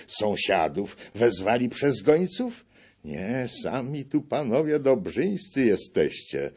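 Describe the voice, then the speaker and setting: A man reading verse aloud and voicing a blunt, loud-voiced country nobleman with a husky tone. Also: full character voice. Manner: booming, indignant. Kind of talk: audiobook poetry reading.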